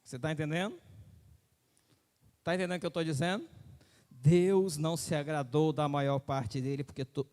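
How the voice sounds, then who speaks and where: Emphatic preacher in a reverberant hall. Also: male voice, on the high side, normal speed, pauses often, darkish tone. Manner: emphatic preaching, repeating phrases, asking the crowd if they follow. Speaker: pastor. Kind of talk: sermon.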